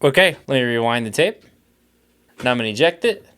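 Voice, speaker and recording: A young man talking casually to camera in a mid-range voice, full of quick reactions and filler words. He sounds keen and curious, narrating each step of his own studio experiment. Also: medium pitch, lively intonation, a bit bright, slight background noise. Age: young adult. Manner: enthusiastic, thinks aloud, many "like"s and fillers. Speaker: music producer testing cassette tape. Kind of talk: casual vlog commentary.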